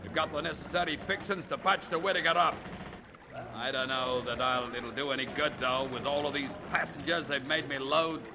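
An actor playing a gruff, grumbling ship captain with rough working-class speech in a radio drama. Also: male voice, fairly high pitch, a little fast, background noise, natural voice. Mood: surly.

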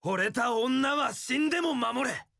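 Carefree romantic young warrior, unhurried. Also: male voice, very high-pitched, expressive, vocal fry, sharp tone, no echo, clean studio sound, exaggerated voice. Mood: earnest. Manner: theatrical, breezy, poetic. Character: carefree, romantic young male wandering warrior with tender streak. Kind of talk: Japanese action game voice acting.

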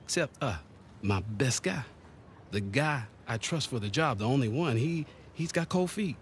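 An actor playing a middle-aged American salvage boss in a video game, genial, casual and persistently coaxing. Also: male voice, mid-range voice, animated, moderate pace, slight background noise, lightly acted. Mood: eager, persuasive. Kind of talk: naturalistic video game acting. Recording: game dialogue over faint outdoor ambience.

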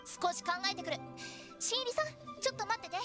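High, lively, playful girl. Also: over loud music. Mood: cheerful, scheming. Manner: theatrical, teasing, switching to measured solemn explanation. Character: playful, eccentric teenage girl funeral parlor director. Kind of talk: anime game voice acting.

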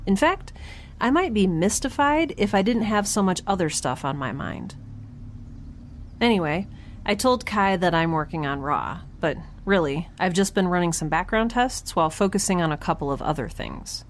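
A woman's voice: lowish voice, lively intonation, moderate pace, a little hiss or hum, lightly acted. A woman playing a quirky young scientist in space, recording a chatty, wry spoken log for an audio drama. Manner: chatty, wry, self-interrupting, informal.

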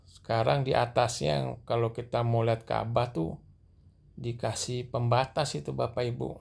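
A middle-aged man narrating a walking tour through a large mosque and onto its rooftop at night, gently addressing his viewers as he films. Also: mid-range voice, vocal fry. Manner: gentle, repeatedly addressing viewers as sir and madam. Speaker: vlogger guiding viewers. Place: large mosque, indoor escalators then open rooftop at night.

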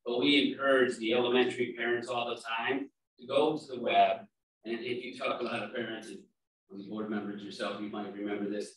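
Low voice guy at a board meeting, far from mic, lots of echo, explaining casually. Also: fairly bright tone. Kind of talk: answering at a school board meeting. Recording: echoey room audio from far off.

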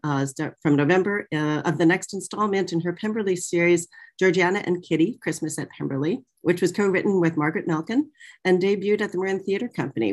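A middle-aged woman with a fairly low voice hosts an interview over a video call. She moves between prepared introduction and chatty questions, with an animated delivery. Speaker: moderator. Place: small office.

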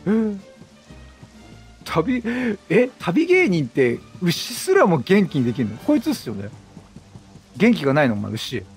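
A man's voice: high-pitched, huge pitch swings, measured pace, pauses often. A man doing casual gameplay commentary, chatty and full of quipped reactions. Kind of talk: casual let's-play commentary, reading dialogue aloud. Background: game music.

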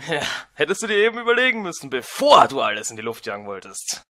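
A man playing a grumpy, excitable young game hero in a cartoon fandub, irritable and exclaiming. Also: on the high side, fast, no echo, clean studio sound.

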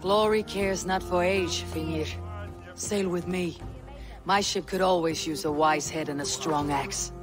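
A woman's voice: lowish voice, steady tone, unhurried, over music, lightly acted. A woman voicing a stoic, resolute female Viking warrior in a video game, grave, firm and plain-spoken. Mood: encouraging. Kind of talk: dramatic video game acting.

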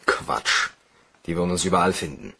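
An actor playing an anxious, defensive young bank clerk with a guilty secret, in a German crime radio play. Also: male voice, deep voice, moderate pace, vocal fry, sharp tone, breathy edge, heavily acted. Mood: tense, resolute.

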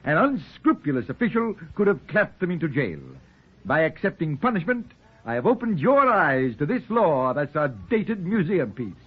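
A man's voice: fairly high pitch, big pitch swings, moderate pace, slightly rough. An actor playing an unflappable, wry older professor and father with suave, theatrical manners, in 1940s radio style. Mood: grandly wry. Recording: tinny, hissy 1940s radio recording.

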